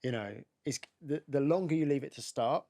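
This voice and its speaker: A man interviewing a guest in a casual chat, agreeing often and thinking out loud. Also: fairly high pitch, normal speed. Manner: affirming, lots of "yeah".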